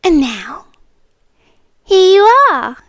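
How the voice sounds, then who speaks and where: A woman's voice: sits high, expressive, no echo, studio quality. A British woman acting out a possessive, ancient supernatural girlfriend in an ASMR roleplay, teasing and smug, with intimate, menacing delivery that often drops toward a whisper. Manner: slow, intimate, taunting, often dropping to a whisper.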